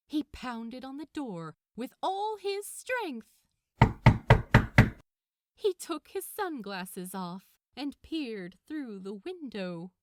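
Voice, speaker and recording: A woman's voice: fairly high pitch, no room sound, clean studio sound. A woman narrating a piece of fiction expressively, as a scripted audiobook-style reading.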